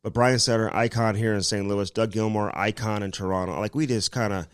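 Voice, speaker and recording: A man's voice: fairly low pitch, fairly even intonation, a little fast, smooth, no echo, studio quality. A man co-hosting a podcast, rambling through ad reads and casual chat with lots of fillers, sounding a little nasal from a cold. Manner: rambling, filler-heavy delivery. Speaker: podcast co-host.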